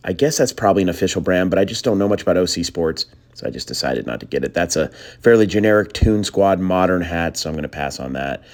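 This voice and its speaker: A man with a low, slightly worn voice narrates his thrift finds in a relaxed, chatty voiceover. He sounds tired late at night, wandering through his thoughts and calling the viewers guys. It is recorded close to the mic. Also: fast talker. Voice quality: deeper voice than usual. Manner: chatty, trails off and restarts, addresses viewers as guys. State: tired, voice a bit gone. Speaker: thrift reseller vlogger.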